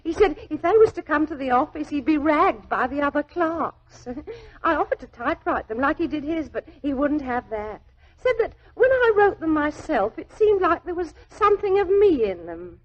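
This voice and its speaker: High, fluttery young girl. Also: sing-song, highs cut off. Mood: earnest, devoted. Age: young adult. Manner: deferential, chatty, halting when flustered. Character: naive, devoted young working-class London typist, anxious and respectful. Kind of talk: 1940s radio drama acting. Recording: old 1940s radio drama recording.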